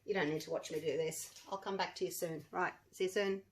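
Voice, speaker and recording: A woman with a croaky voice, chatting casually to viewers as she demonstrates a resin craft project. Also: on the low side, steady tone, brisk. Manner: chatty, rambling, talks to viewers while working. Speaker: resin craft channel host.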